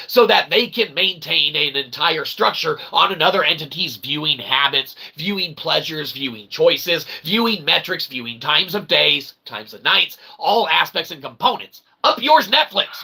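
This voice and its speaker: A man's voice: fairly high pitch, creaky, pushed and bright, slight background noise. A man delivering an unscripted, profane opinion rant in an agitated, wordy, emphatic manner. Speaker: opinion vlogger.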